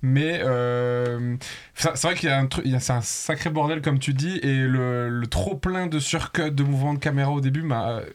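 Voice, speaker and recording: Give me a measured, hesitant French man chatting about films in a middle pitch. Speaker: film podcast panelist. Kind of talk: casual film podcast chat.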